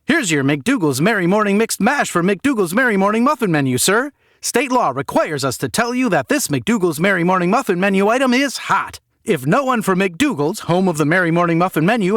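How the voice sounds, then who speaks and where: A man voicing a relentlessly chirpy fast-food clerk who recites corporate patter in an over-enunciated singsong for an audio story. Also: high voice, fairly bright tone, no room sound, studio quality, voice pushed up.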